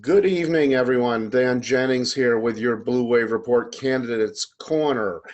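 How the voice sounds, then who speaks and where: A man's voice: mid-range voice, normal speed, dull audio. A man hosting an interview show, giving a friendly introduction and asking questions. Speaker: show host.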